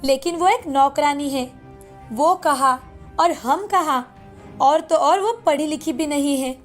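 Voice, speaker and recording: A woman's voice: sits high, slow pace. A woman voicing a doting, class-proud wealthy mother of a grown son in a cartoon story, with an emotional, exclaiming manner. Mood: shocked, disapproving. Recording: music bed behind the voice.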